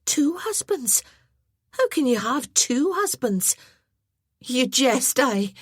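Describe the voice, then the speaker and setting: A woman's voice: high voice, big pitch swings, slow pace, slightly rough, no room sound, clean studio sound, voice pushed up, heavily acted. A woman voicing a clumsy, tearful young noblewoman who talks in a breathless, apologetic rush. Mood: nervous, eager.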